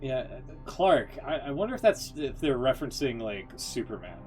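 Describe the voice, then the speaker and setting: A man's voice: medium pitch, very animated, moderate pace, darkish tone, faint music behind. A man streaming live, giving casual, informal reaction commentary on game cutscenes and thinking aloud.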